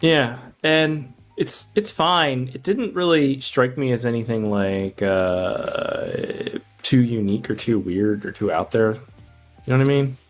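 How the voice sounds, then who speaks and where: A man's voice: mid-range voice, a little slow, faint music behind. A man co-hosting a podcast, talking casually about film in an informal, meandering way.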